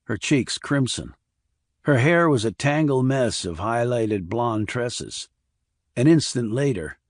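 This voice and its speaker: A man reading a scripted thriller audiobook in a measured, descriptive storytelling manner. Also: medium pitch, no room sound, clean studio sound. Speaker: audiobook narrator.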